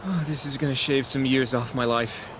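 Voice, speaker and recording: An actor playing a timid, anxious young lawyer in a video game, speaking hesitantly and politely. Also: male voice, medium pitch, fairly even intonation, moderate pace, background noise, close to an everyday voice. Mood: nervous. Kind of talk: English video game voice acting. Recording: music under the voice.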